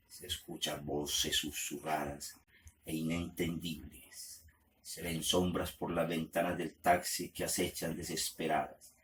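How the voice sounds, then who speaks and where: A man acting as the narrator of an eerie night-time horror radio play, telling it in a measured, dramatic way. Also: sits low, a bit bright, a little hiss or hum. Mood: tense, dread.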